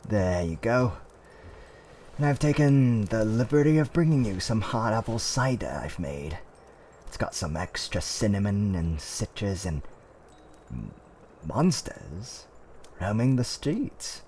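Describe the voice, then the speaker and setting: A man playing a gentle, courteous tavern keeper in a fantasy roleplay, with soothing, old-fashioned politeness and theatrical hums and pauses. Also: on the low side, sing-song, slight background noise. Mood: concerned, soothing. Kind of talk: roleplay ASMR character acting.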